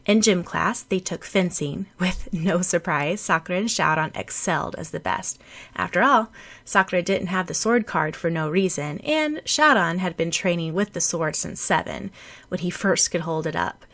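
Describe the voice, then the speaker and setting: Bright-voiced woman narrating a story. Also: on the low side, lively intonation, moderate pace. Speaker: audiobook narrator. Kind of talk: scripted fan fiction narration.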